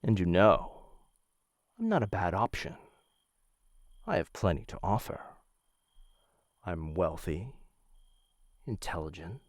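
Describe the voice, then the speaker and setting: Male actor as aristocratic killer and possessive suitor: deep, courtly, softly menacing, close and dry. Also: breathy edge, no echo, exaggerated voice. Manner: courtly, measured, softly menacing, addresses listener as my dear. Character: smooth, dangerous young noble assassin, possessive suitor. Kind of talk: boyfriend ASMR roleplay, intimate scripted acting.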